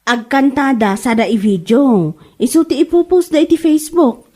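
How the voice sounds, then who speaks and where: A woman's voice: sits high, normal speed, a little mellow. A woman with an Ilocano accent playing a mocking village gossip in a comedy radio drama. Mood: gossipy.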